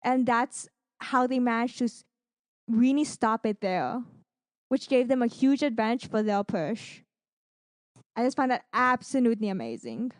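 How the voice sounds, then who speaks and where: A woman's voice: a little high, lively intonation, moderate pace, no room sound. A woman co-hosting a podcast, recapping esports matches in a casual, gushing, enthusiastic way. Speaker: podcast co-host.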